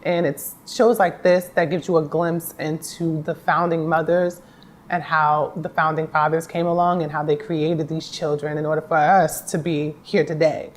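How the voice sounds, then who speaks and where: A woman's voice: sits low, little pitch movement, normal speed, a little hiss or hum. A woman guest answering interview questions in an articulate, earnest way. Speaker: actress guest.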